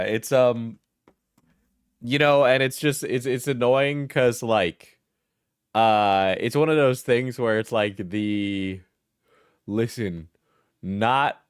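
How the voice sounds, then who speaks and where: A man's voice: mid-range voice, clean tone, dry recording, studio quality. A sleepy man livestreaming, chatting casually and rambling, laughing and swearing often. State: sleepy, just out of bed.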